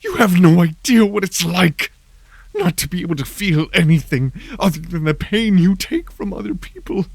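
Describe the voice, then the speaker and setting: A man's voice: high-pitched, lively intonation, normal speed, creaky, dry recording, voice pushed up, full character voice. A man voicing a murderous, tearful police officer in a horror audio drama, pleading and stammering. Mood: screaming anguish.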